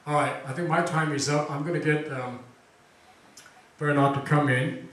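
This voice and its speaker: A man's voice: a little high, steady tone, moderate pace, fairly bright tone. A man hosting a talk event, chatting casually and quizzing the audience with lots of fillers. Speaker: event host introducing a speaker. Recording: very echoey room recording from far off.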